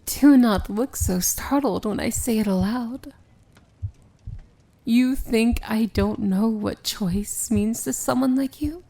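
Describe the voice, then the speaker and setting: A woman playing a seductive, tender yet commanding evil princess in an intimate fantasy ASMR roleplay, speaking softly and often whispering. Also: a little high, no room sound, full character voice. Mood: devoted, reassuring. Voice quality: soft, breathy, often whispered. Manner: slow, intimate, gently commanding.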